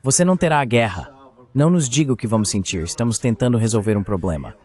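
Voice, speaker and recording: A man's voice: mid-range voice, expressive, fast talker, a little mellow, slightly rough. A man giving a translated voice-over of a heated political exchange.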